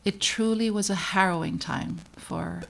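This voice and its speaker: A middle-aged woman from the Netherlands, a pediatrician hosting her own podcast, narrating in an earnest, measured and reflective way with emphatic pauses. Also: mid-range voice, steady tone, gravelly, a bit bright, slight background noise. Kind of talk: scripted personal podcast narration.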